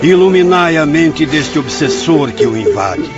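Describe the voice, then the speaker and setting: A man's voice: fairly high pitch, animated, measured pace. An actor playing a reverent, fervent man who prays aloud in a solemn, declamatory way in a radio drama. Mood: reverent invocation. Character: reverent, fervent man praying aloud over a lost soul. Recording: dull studio track under loud music.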